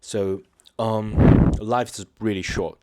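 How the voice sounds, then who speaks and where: A man with a very deep voice speaks to his viewers without a script, stopping and restarting often and leaning on fillers. His delivery is earnest and sincere. The sound is dry and close, with no room around it. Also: steady tone, warm tone, no room sound, poor audio. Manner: halting, hesitant, many fillers and restarts. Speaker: channel host. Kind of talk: unscripted personal talk to viewers.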